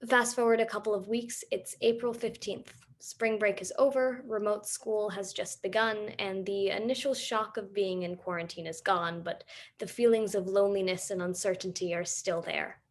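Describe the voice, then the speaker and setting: A woman's voice: medium pitch, fairly even intonation, moderate pace. Young woman narrating a personal stage monologue. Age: teens to young adult. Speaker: student playwright and narrator.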